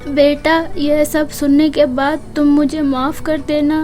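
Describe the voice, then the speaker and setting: A woman acts out a gentle servant mother from a children's storybook, high-voiced and very even. She speaks plainly and apologetically, full of remorse, over soft music. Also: slow. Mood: confessing, earnest. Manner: earnest, apologetic, plain-spoken.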